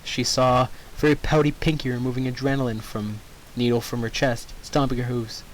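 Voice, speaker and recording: A man's voice: medium pitch, little pitch movement, brisk, slight background noise, poor audio. A man reading fan fiction aloud informally, expressively breaking off for squeamish asides. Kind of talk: informal story narration with reactions.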